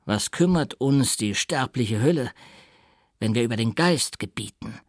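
A man reading an audiobook, voicing an old doctor and mystic who gloats slyly, in a theatrical, drawn-out, mocking manner. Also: mid-range voice, lively intonation, no echo, studio quality. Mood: boastful, scornful.

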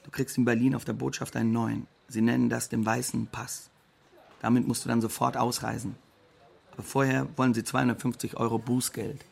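A man's voice: medium pitch, little pitch movement, fairly quick, creaky. A man playing a matter-of-fact undocumented migrant in a plain, factual radio drama monologue.

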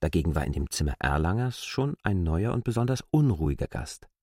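A man's voice: sits low, very animated, a little fast, dark tone, no echo, clean studio sound. A man reading scripted literary narration for an audio drama.

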